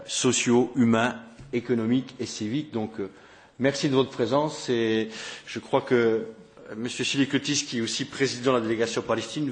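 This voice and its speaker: A man hosting a press conference, speaking in a halting way with many fillers and repeated words. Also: medium pitch. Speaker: press conference host, member of parliament. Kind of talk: hesitant spoken welcome speech. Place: press room.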